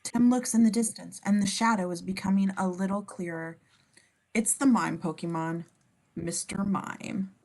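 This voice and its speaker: A woman's voice: medium pitch, fairly even intonation, normal speed, darkish tone, poor audio. A woman reading scene descriptions in a casual amateur script read-through, as the narrator.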